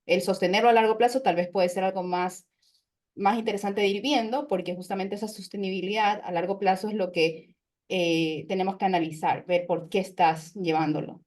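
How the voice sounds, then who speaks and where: A woman's voice: medium pitch, fairly even intonation, normal speed, a little mellow. Thoughtful woman nutritionist hosting a podcast. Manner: thoughtful, explanatory, with frequent fillers. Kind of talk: conversational podcast interview.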